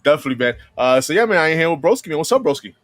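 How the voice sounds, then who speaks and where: A man with a slightly hoarse voice hosting a live podcast in casual, slangy talk, often tagging sentences with "man.". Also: fairly high pitch, very animated, racing, fairly bright tone. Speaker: podcast host.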